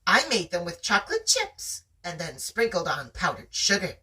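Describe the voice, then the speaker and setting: Storybook mom, gentle and earnest, lilting bright delivery, put on by an amateur reader, a little off-mic. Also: medium pitch, huge pitch swings, normal speed, distant mic. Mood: caring. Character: gentle, earnest mother in a sci-fi novel.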